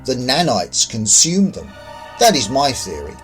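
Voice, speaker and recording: Man voicing a courteous otherworldly visitor, animated pitch. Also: soft background music. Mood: calm, explanatory. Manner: measured, explanatory. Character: calm otherworldly visitor of indeterminate sex, puzzled, courteous. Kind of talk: narrated science fiction story.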